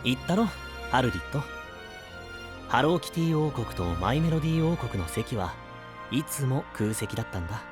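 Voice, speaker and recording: A man playing a composed young knight in an anime-style voice drama, explaining things in a measured, confident way. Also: medium pitch, close to an everyday voice. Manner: measured, explanatory, with deliberate phrasing. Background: prominent background music.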